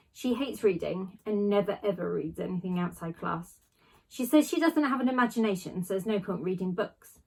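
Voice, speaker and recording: A woman reads a children's novel aloud in the manner of a class teacher. Her voice sits at an ordinary female pitch and has a slightly husky, unpolished edge. She leans on key words and leaves small dramatic pauses as she tells the story. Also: rough recording.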